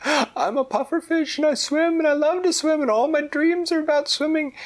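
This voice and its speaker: A tiny, frightened girl pufferfish squeals and pleads in a high, strained voice, put on by a man reading a bedtime story. The voice sits far above his own and comes out loud and pleading. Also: moderate pace. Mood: distressed. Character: small frightened female pufferfish in pain.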